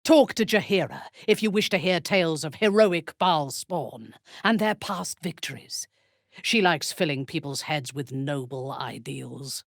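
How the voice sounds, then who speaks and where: A haughty, dangerous warrior woman from a fantasy video game. Her voice is husky and breathy, recorded very dry and close. She speaks with imperious disdain and wide, expressive swings of pitch, every formal phrase carrying cold menace. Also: unhurried, dry recording, heavily acted. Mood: scornful, measured. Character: haughty, menacing female warrior and former cultist, cold and commanding. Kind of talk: video game character voice lines.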